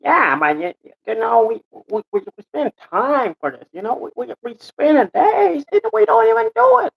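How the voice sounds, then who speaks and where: A man voicing an excitable, bossy and earnest comedy sketch character who stammers and repeats himself insistently. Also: very high-pitched, moderate pace, creaky, slightly rough, muffled recording, pitched above the performer's own voice, heavily acted. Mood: indignant insistence.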